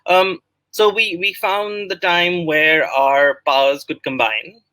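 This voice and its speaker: A man's voice: sits high, steady tone, slow pace, sharp tone, dry recording. A man from India with an Indian accent, a writer presenting his project in a spoken promotional talk. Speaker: writer and guest speaker.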